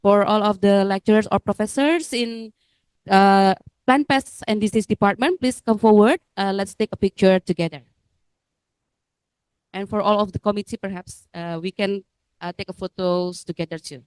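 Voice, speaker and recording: A woman's voice: medium pitch, normal speed, a little mellow, clear, resonant tone. A woman emcee at a seminar in a hall, repeatedly calling people forward for a group photo with many fillers. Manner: repetitive, inviting, with fillers. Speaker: master of ceremonies. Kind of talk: event announcements.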